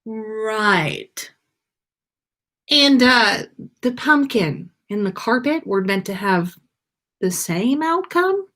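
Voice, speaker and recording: A woman's voice: fairly high pitch, animated, drawn-out words, pauses often, a bit bright, full character voice. A woman voicing a puzzled teenage boy wizard in a story reading, in a questioning manner. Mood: confused.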